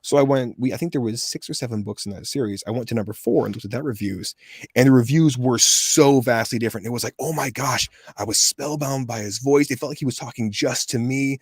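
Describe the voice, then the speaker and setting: A man's voice: mid-range voice, fairly quick, breathy edge, dry recording, clean studio sound. A man in his thirties, a voice actor telling stories in a casual interview and acting out the voices he quotes. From USA. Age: 30s. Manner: animated storyteller, acts out quoted voices. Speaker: voice actor guest.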